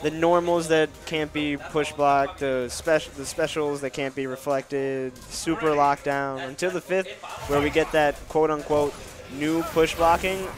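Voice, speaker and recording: A man doing casual stream commentary for a fighting game event, reading viewer questions and answering them. His voice is nasal, and he tries to keep it lower than usual.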